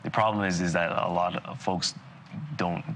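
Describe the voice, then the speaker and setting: A man with a very low, bright and forceful voice, from Barbados, lecturing dentists live in a relaxed, conversational way full of fillers and asides. Faint noise sits under the recording. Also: normal speed. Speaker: dental lecturer. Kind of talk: live continuing-education lecture.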